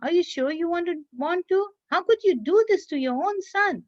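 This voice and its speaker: Woman voicing an urgent, high-pitched goading devil. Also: animated, moderate pace. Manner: urgent, questioning, dramatic. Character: devil posing as a man, insistent, goading tempter. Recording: dull lo-fi online class audio.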